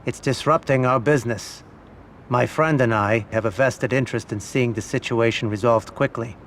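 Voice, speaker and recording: An actor playing an elderly, shrewd and calm mob financier in a video game cutscene, speaking in a measured, fatherly, instructive way. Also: medium pitch, a little hiss or hum, close to an everyday voice. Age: older.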